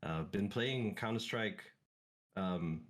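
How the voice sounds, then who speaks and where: A man in his thirties from Singapore, talking as a guest in a casual interview, relaxed and reflective with many fillers and restarts. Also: on the low side, slow pace. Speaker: esports team CEO, guest.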